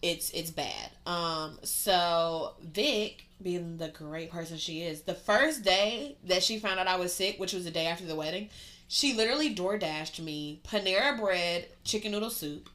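Chatty young woman with a low voice. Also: measured pace, fairly bright tone. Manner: chatty, profane, many fillers like "um" and "like". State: getting over a cold. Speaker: podcast co-host and photographer. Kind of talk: casual chat.

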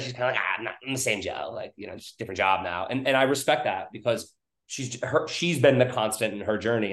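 Podcast host: man, mid-pitched voice, informal, blunt and sweary, thinking aloud in a reverberant room. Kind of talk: casual podcast chat. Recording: echoey room.

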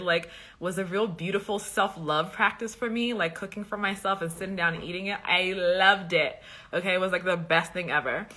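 Chatty woman from liberia hosting a live stream, bright voice, lots of ums, talks right to the viewers. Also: lowish voice. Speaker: podcast host and coach. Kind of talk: casual live-stream chat.

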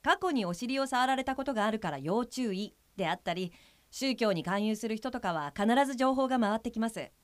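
A woman playing a polite, frank guide for blind people in an audio drama, speaking in a measured, matter-of-fact way with a firm edge. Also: on the high side, expressive, dry recording, natural voice.

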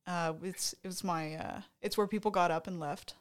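A woman's voice: fairly low pitch, fairly even intonation, normal speed. A woman co-hosting a podcast, chatting casually about a show with a playful, dryly teasing manner. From Canada. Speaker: podcast co-host. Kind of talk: casual chat, recounting a concert.

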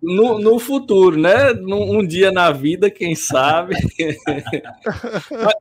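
High-pitched dude on a podcast, jokey, laughs a lot at himself. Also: male voice, animated, extremely slow, husky. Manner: self-deprecating, laughs easily. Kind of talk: casual podcast chat.

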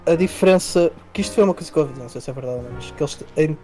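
A male interviewer with a mellow, relaxed tone speaks casually. He phrases things in a halting, searching way, with faint music in the background. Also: on the high side.

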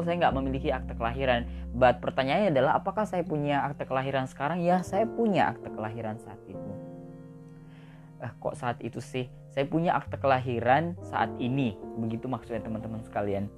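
A man's voice: mid-range voice, brisk, music in the background. Chatty young man telling his life story. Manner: chatty, many fillers, mixes in English words. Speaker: podcast host. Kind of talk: casual motivational storytelling monologue. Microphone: audio podcast recording.

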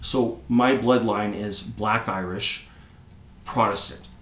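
Low-voiced rambling Canadian guy. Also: fairly even intonation, takes it slowly, fairly bright tone, reverberant. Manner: rambling, says "right?" often. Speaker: podcast co-host. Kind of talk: casual chat.

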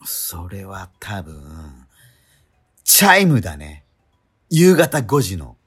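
A man's voice: low voice, huge pitch swings, fairly bright tone, breathy edge, full character voice. An actor playing an exasperated schoolboy straight man in a comedic radio drama, quibbling and retorting in disbelief. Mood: wearily doubtful. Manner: incredulous, quibbling, rapid retorts.

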